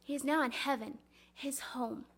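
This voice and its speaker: A woman performing a dramatic religious monologue as a grieving, devout mother recalling her son, speaking earnestly and deliberately. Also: high voice, fairly even intonation. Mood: hopeful, reverent. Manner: earnest, reverent, deliberate storytelling.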